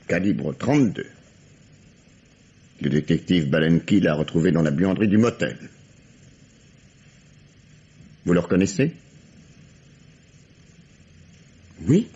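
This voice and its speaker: A very low, husky male voice gives us a relentless, worn-out police interrogator. He presses with menacing, falsely paternal insistence, in the manner of a 1950s radio mystery. The recording is dull and vintage. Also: a little mellow, full character voice. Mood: mock-paternal, controlled. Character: gruff, weary, relentless male police detective interrogating a suspect.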